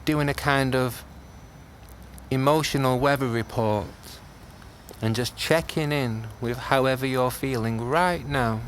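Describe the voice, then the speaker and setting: A man teaching a guided meditation, speaking gently in short phrases with long pauses. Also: mid-range voice. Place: outdoors. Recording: outdoor class with clear background noise.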